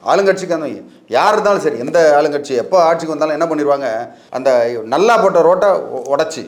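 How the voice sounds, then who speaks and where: A man's voice: on the high side, fast. A man with a Tamil Nadu accent giving informal legal advice as an expert, emphatic and colloquial, mixing in English words. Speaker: legal expert guest answering questions. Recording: echoey, reverberant room.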